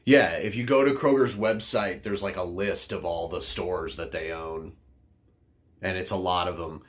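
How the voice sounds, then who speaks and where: A man's voice: deep voice, fairly even intonation, moderate pace. A man from the northern United States, a podcast host rambling casually with lots of fillers. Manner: rambling, filler-heavy, offhand. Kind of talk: casual chat answering chat.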